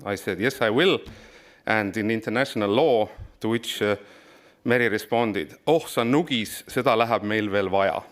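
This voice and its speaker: A man in his forties from Estonia delivers a formal lecture with a fairly low voice. He speaks in a measured, formal way and sometimes stops to restart a phrase. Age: 40s. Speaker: guest lecturer.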